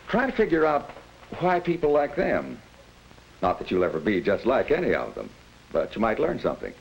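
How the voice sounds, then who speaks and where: A man playing a middle-aged American father in a 1940s educational film, giving measured, reassuring advice. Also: fairly low pitch, gravelly, heavily acted. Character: calm, reasonable middle-aged father advising his son. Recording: muffled, noisy 1940s film soundtrack.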